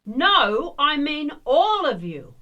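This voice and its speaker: A woman acts a scornful, bossy serial diva in a comic radio play. Her voice is high and sharp, with slow, imperious delivery that swoops dramatically. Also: sing-song, dull audio, heavily acted. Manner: imperious, cutting.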